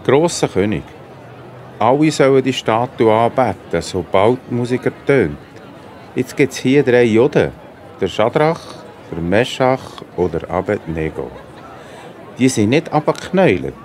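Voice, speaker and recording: A man's voice: mid-range voice, sing-song, unhurried, darkish tone, some chatter behind, a little hiss or hum. An actor playing a tattling, deferential servant of a king in a children's audio play. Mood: tattling, indignant.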